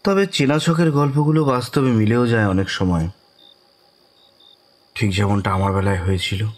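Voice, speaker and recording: A man playing a mild, easygoing storyteller who recounts his own eerie night in measured, rueful audio drama narration. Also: on the low side, lightly acted. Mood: mild, patient. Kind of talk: first-person horror audio drama narration. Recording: rain ambience behind voice. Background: rain.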